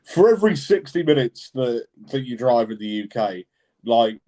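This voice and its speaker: Chill british guy hosting a podcast, warm mellow voice, lots of laughs and likes. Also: mid-range voice, big pitch swings, normal speed. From United Kingdom. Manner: laid-back, laughs often, many fillers. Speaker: podcast host. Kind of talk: casual chat.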